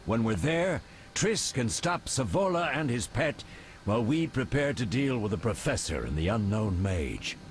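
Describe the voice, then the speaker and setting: A man's voice: medium pitch, sing-song, moderate pace, slight background noise, close to an everyday voice. An actor playing an older male witcher leader in a video game, giving clipped, authoritative orders. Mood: commanding, urgent. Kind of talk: video game cut-scene acting.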